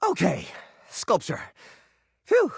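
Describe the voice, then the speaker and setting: Game role: living junk drawer, quirky earnest hoarder, highly animated delivery, dry studio sound. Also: male voice, slow, creaky, husky, no room sound, exaggerated voice. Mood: fond, musing. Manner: expressive, earnest, fussy musing. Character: quirky, sentimental male junk drawer personified, fussy hoarder. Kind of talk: video game character acting.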